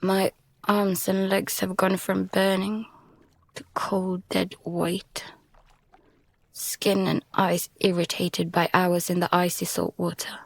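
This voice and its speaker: A woman acting as a frightened young survivor telling an audio drama in the first person. The voice is breathy and husky, with a slow, halting delivery that sounds worn out. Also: on the low side, completely flat delivery, no echo, heavily acted. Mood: hushed, drained dread. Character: frightened exhausted young survivor adrift at sea.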